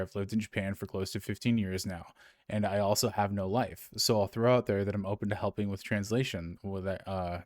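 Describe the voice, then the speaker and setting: A man co-hosts a relaxed gaming podcast and reads out listener emails. His voice sits low, and he rambles and corrects himself as he goes. The recording is dry and close to the mic. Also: no echo. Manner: rambling, self-correcting, chuckles often. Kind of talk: casual gaming podcast chat.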